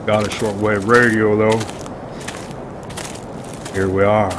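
Man playing a low-voiced, folksy, menacing rural gunman. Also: flat delivery, takes it slowly. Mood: folksy, insistent. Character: menacing rural gunman, chuckling, living with his Ma. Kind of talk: radio drama acting. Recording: very noisy radio drama soundscape.

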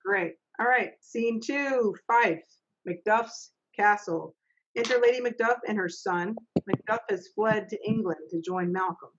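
A middle-aged woman in a home office, reading stage directions for a video-call play reading and briskly keeping the young readers on track. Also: mid-range voice, noisy background. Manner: brisk, practical, coaxing the young readers along. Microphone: laptop mic on a video call. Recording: dull lo-fi video call audio.

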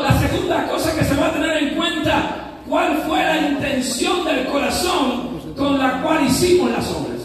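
A pastor preaching a sermon in a church, fervent, with shouted rises and slow drawn-out emphasis. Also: male voice, extremely high pitch. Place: church sanctuary. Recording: echoey church hall from far off.

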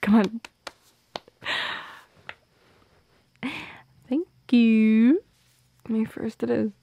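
A young woman playing a shy, teasing teenage goth girl in an intimate ASMR roleplay, speaking softly and hesitantly, often slipping into a whisper. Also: on the high side, fairly even intonation, no echo, muffled recording, full character voice. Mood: delighted, romantic. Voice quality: soft and breathy, slipping into whispers. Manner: hesitant, teasing, halting with small laughs. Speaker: audio roleplay performer. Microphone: close microphone in a dry space.

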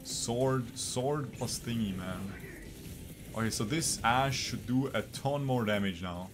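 A man's voice: medium pitch, slow, over music. A young man from Bosnia, raised in Switzerland, chatting to his viewers as he streams a strategy game from a small room at his desk mic. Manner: chatty, talks to his viewers, repeats himself. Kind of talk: live gameplay commentary.

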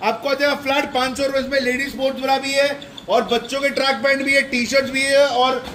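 A young man with a very high voice pitches a store sale, holding his phone camera as he walks a big indoor shoe shop. He repeats prices over and over in a flat, unvarying tone. A faint store hum sits behind him. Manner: repetitive rapid-fire price listing. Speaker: shop salesman. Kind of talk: promotional sale walkthrough.

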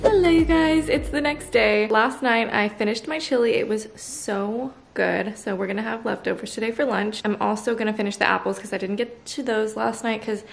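A woman's voice: on the high side, normal speed, gravelly, few pauses, faint music behind. A woman in her twenties chatting casually through a home vlog, bubbly and laughing often. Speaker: lifestyle vlogger. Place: home kitchen and living room. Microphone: handheld vlog camera.

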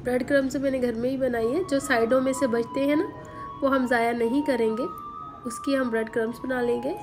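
A woman, hoarse from a sore throat, giving a chatty home cooking voice-over with many fillers. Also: high voice, fairly even intonation, fairly quick, music in the background. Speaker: cooking channel host. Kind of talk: recipe voice-over. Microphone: voice-over recorded separately.

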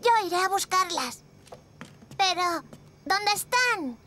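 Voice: very high-pitched, expressive, slow, noisy background, full character voice. An actor playing a curious, eager four-year-old boy in a children's cartoon dubbed in Castilian Spanish.

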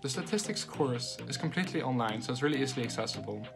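A young man from the Netherlands rattles off a quick, upbeat testimonial. He is recorded from a little way off the mic, with music clearly underneath. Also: medium pitch, animated. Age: young adult. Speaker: former student giving a testimonial.

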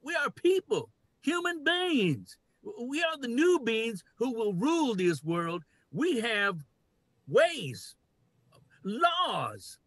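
A man's voice: extremely high pitch, sing-song, takes it slowly, a bit bright, dry recording, exaggerated voice. An older man in a dramatic play reading, playing a domineering human leader who speaks in commanding declarations. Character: domineering man who proclaims human rule over animals. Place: cluttered home room, video call. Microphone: computer mic on video call.

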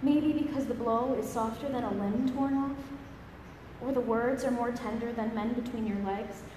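Woman reciting solemn poetry in echoey church. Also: a little high. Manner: measured, serious, emphatic phrasing. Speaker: reader at church service. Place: large church interior. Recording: echoey church hall, faint background noise.

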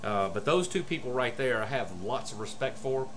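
A man in his forties chatting casually to a webcam as a vlogger, enthusiastic and rambling, with halting pauses. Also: medium pitch, animated, fairly bright tone. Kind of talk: casual excited unboxing vlog chat. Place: small room at home, indoors. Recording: noisy room with air conditioner hum. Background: air conditioner hum.

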